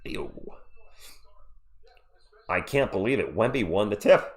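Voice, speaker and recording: A man's voice: fairly low pitch, very animated, slow pace. A man who is sick with a cold, hosting a casual live play-by-play stream and chatting jokily with viewers. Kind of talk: casual sports commentary and chat with viewers.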